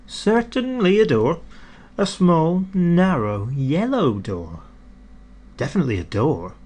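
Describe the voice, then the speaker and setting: A man narrating a children's storybook expressively, with deliberate emphasis on key words. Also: a little high, big pitch swings, darkish tone. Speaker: audiobook narrator.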